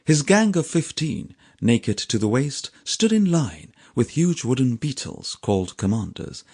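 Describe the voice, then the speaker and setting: A man reading a literary sea story as an audiobook narrator, with a measured, theatrical storytelling delivery. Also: mid-range voice, very animated, darkish tone, breathy edge.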